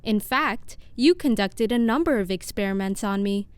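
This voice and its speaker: A woman's voice: medium pitch, lively intonation, normal speed, clear, resonant tone, no echo, natural voice. A woman playing an earnest, literal-minded scientist in an audio drama, with formal, precise wording. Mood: sincere. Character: earnest, literal-minded female lab scientist named Sophie. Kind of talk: scripted audio drama acting.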